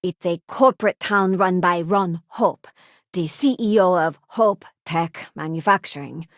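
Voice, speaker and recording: A woman's voice: lowish voice, a little slow, no echo. Game companion: young working-class female spacefarer, principled, frank, conversational. Dry recording. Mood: earnest. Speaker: video game companion character. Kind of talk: video game voice acting, short character lines.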